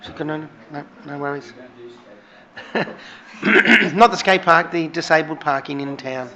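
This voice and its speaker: A man with an Australian accent speaking as a councillor, friendly and chatty with fillers, with a croaky voice, recorded on dull lo-fi room audio. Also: on the high side, a little slow, a crowd in the background. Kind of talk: casual remarks in a meeting.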